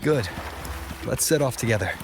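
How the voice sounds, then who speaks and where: An actor playing a calm, decisive young male hero in a video game, with an understated, reassuring manner. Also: medium pitch, normal speed, music in the background, natural voice.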